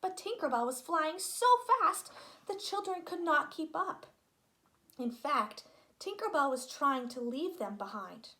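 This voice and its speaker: A woman reading a children's story aloud as an expressive narrator. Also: high-pitched, measured pace. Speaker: storybook reader.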